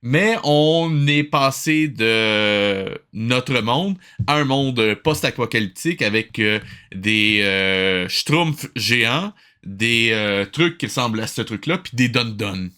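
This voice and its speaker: Casting: male streamer, mid-pitched, sharp and bright, sarcastic off-the-cuff commentary. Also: expressive, slow, clean tone. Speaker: livestream host. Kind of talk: casual livestream reaction commentary.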